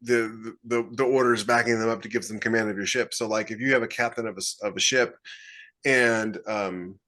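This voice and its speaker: Bright, forceful man in panel chat. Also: lowish voice, fairly even intonation, fairly quick. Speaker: podcast co-host. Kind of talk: casual panel discussion. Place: indoors, on a video call.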